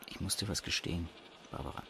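An actor playing a sheepish, confessional husband in a radio drama. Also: male voice, fast talker, background noise, close to an everyday voice. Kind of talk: German radio drama acting.

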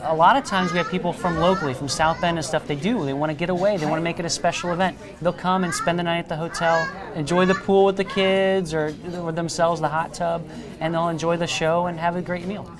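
A man in his thirties talks up his restaurant at a quick clip, eager and upbeat. He is on a lapel mic in a large dining room, with a crowd of diners chattering behind him. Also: fairly high pitch, steady tone. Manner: upbeat, eager, says "absolutely" often. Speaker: restaurant representative. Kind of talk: promotional TV interview.

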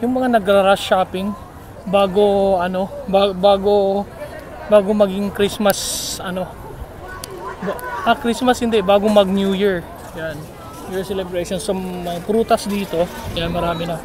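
A man's voice: high voice, steady tone, a little slow, long pauses. A Filipino man talking casually while walking a crowded outdoor street market, rambling with many fillers, filmed on a phone held at arm's length. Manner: chatty, rambling with frequent fillers. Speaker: vlogger. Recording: outdoor phone video, loud crowd noise.

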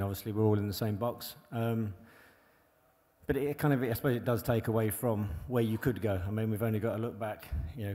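A man's voice: lowish voice, warm tone. A man who once raced, talking as a panel guest on stage, rambling with many filler phrases. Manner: rambling, many "you know" and restarts. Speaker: bike engineer and former pro racer. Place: large hall on stage before an audience. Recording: long hall reverb.

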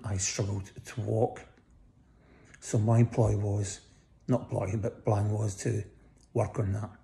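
Croaky, gravelly man in a hospital bed. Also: lowish voice, dark tone. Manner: lying in bed, tired, talking slowly with many pauses. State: croaky voice after breathing tubes. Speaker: vlogger giving a hospital update. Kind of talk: casual personal video update. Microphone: phone held close above face.

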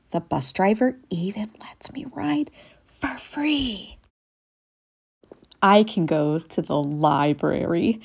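A woman voicing an eager young male puppy in a storybook, narrating in an earnest, childlike, expressive way. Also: mid-range voice. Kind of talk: storybook read-aloud.

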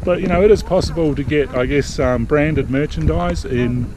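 A middle-aged man hosting a sailing vlog, talking casually to a hand-held camera outdoors in a city square, relaxed and chatty with fillers. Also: on the high side, normal speed, background noise. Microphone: camera held at arm's length.

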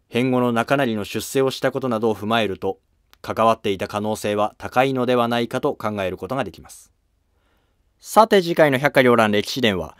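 A man delivering scripted historical narration in a measured, explanatory manner. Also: mid-range voice, no echo, studio quality. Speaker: narrator of a history drama series.

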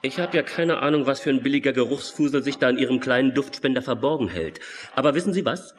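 A man plays a gruff ship's radio operator in an audio drama. His medium-pitched voice is brash and slangy, dismissive and cheeky, with faint music behind. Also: lively intonation, fast talker, lightly acted. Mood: brash, mocking. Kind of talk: German audio drama acting.

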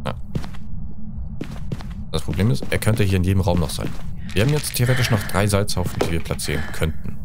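A man's voice: low-pitched, background noise. A man in his thirties giving casual game commentary as a streamer, sprinkling in gamer slang and English phrases, close to a desk microphone. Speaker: let's play streamer. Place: indoors, seated close at a desk. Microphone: desk microphone at close range.

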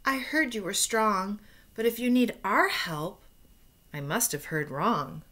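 A woman voicing worried, excitable little monkeys in a children's storybook, exclaiming dramatically. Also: medium pitch, takes it slowly. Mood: coaxing.

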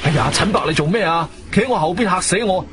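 A man's voice: high-pitched, quick pace, noisy background. A male actor playing an agile, intense adventurer who narrates his own radio drama in suspenseful Cantonese. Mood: urgent, alarmed. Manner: animated, suspenseful storytelling. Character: agile martial-arts-trained adventurer, urgent and intense. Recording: studio radio drama with music behind.

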